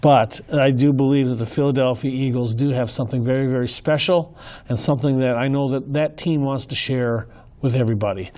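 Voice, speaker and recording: A man hosting a casual talk show, hoarse, speaking in an earnest, folksy way with many fillers and restarts. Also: mid-range voice, fairly quick, darkish tone. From Minnesota, US. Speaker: host. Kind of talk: casual commentary.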